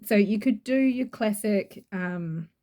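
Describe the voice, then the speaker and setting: Mellow-voiced New Zealand woman gushing. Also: medium pitch, slow pace. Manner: chatty and gushing, rambling with fillers. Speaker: oracle deck reviewer. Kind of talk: casual product review.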